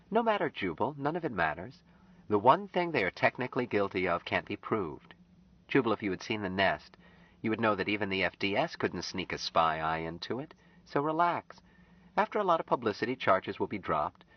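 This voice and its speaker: A man's voice: on the low side, voice pushed up. A man voicing an earnest, pleading young woman. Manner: pleading, gently insistent. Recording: slightly dull lo-fi audio.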